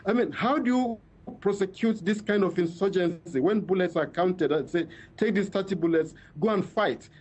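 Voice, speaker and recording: A man's voice: high-pitched, moderate pace, darkish tone, breathy edge. A man with a Nigerian accent, a journalist explaining in a live news interview, often repeating words as he searches for phrasing. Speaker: journalist reporting by phone. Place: remote phone call.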